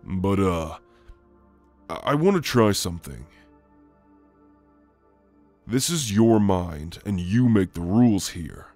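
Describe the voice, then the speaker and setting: A man playing a gentle shadowy sleep demon in an ASMR roleplay, speaking softly and haltingly to soothe the listener. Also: sits low, expressive, no echo, soft background music, full character voice. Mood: calm, explaining.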